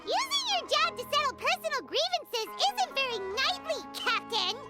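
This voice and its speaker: A woman's voice: extremely high pitch, sing-song, normal speed, pushed and bright, soft background music, heavily acted. A woman voicing a tiny, bubbly female companion creature in a video game, who scolds and speaks of herself in the third person. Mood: chirpy. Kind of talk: video game cutscene voice acting.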